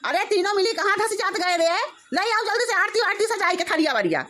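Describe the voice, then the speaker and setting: A woman's voice: very high voice, very animated, fairly quick, exaggerated voice. A woman with an Awadhi accent voicing a flustered, fawning village mother-in-law in a comedy cartoon, talking effusively and repetitively. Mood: exasperated. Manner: effusive, repetitive, swinging to shouted orders. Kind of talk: rural family comedy cartoon.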